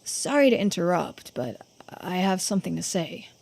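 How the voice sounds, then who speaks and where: A woman's voice: fairly low pitch, big pitch swings, normal speed, vocal fry, darkish tone, exaggerated voice. Girl doing a earnest daughter character in a video game, polite, a bit tentative.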